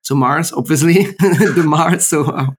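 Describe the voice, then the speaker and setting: A man's voice: a little high, fairly even intonation, unhurried, a little hiss or hum. A man hosting a podcast over a call, upbeat and summarizing topics for listeners. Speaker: podcast host. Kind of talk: casual interview chat. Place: remote call. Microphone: video call.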